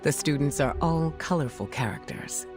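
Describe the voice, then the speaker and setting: A woman playing a regal, gracious mutant heroine in a video game, speaking formally and with measured poise. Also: sits low, animated, over music. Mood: warm, courteous. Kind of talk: video game cutscene acting.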